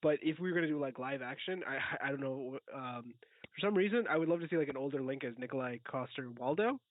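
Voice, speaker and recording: A man's voice: medium pitch, lively intonation, fast talker, no echo. A man co-hosting a podcast in casual movie chat over a video call, informal and tentative in his delivery. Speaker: podcast co-host. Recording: thin phone-like video call audio.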